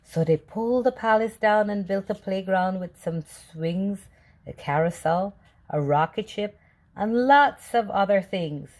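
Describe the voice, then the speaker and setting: A woman reading a children's storybook aloud with deliberate, expressive phrasing. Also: medium pitch. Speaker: storybook reader.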